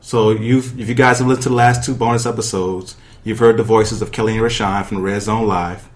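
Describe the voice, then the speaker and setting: A man's voice: lowish voice, fairly even intonation, fairly quick. Casual man hosting a sports podcast. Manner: informal, chatty, addresses listeners directly. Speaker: podcast host. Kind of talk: casual sports talk with guests and sponsor reads.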